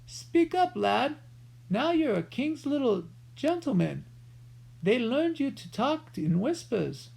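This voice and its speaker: A garrulous old rat catcher with a high, strained voice, shouting because he can barely hear, as a storyteller puts him on. His pitch leaps all over the place and his voice has a gravelly edge. He comes from a spoken-word children's story. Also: big pitch swings, slow, full character voice, rough recording. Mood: hearty, half-deaf. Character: old deaf male rat catcher, friendly, chatty.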